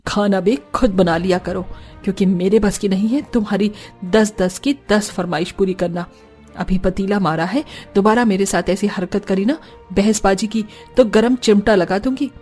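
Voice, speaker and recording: A woman's voice: mid-range voice, normal speed, a little mellow, soft background music, voice pushed down, close to an everyday voice. A woman voicing a scolding, threatening, exasperated elderly mother in a cartoon. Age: older.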